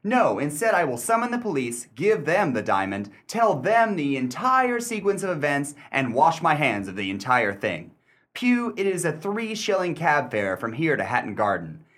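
Male, highish and very animated: man playing a dry, haughty, bargaining gentleman for period radio drama, formal and deliberate. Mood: firm, haughty. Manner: theatrical, deliberate, formally wordy. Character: cool, dry, mocking gentleman driving a hard bargain. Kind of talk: period radio play acting.

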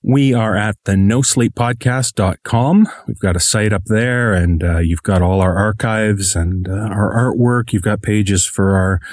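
Male podcaster as interview guest: low-pitched, conversational, modest, recorded dry. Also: unhurried, few pauses, a little mellow, no echo. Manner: modest, self-deprecating.

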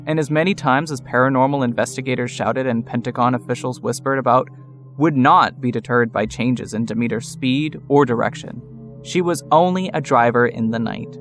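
Wry male storyteller over music. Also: medium pitch. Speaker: narrator. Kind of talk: scripted dramatic story narration. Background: music score.

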